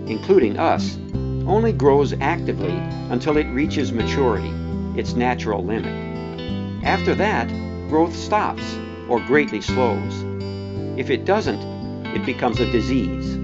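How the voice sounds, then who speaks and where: A man narrating a scripted documentary in a measured, pointed way with deliberate emphasis. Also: medium pitch. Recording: dull, band-limited sound with music behind.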